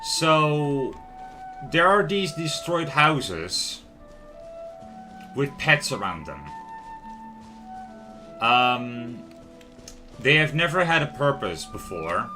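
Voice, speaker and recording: A man streams a game with casual, expressive commentary for his viewers. His mid-pitched voice is bright and takes its time as it rambles, with light music behind. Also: very slow, pauses often. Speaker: livestream host.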